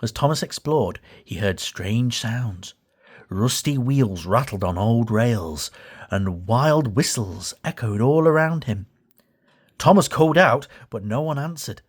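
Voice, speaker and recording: A man's voice: mid-range voice, animated, a little slow, breathy edge. A man reading a children's story as a scripted storybook narration. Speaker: story reader.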